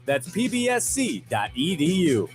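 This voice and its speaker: A man's voice: on the high side, huge pitch swings, a little slow, soft background music. A man announcing a scripted radio commercial. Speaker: advertising announcer.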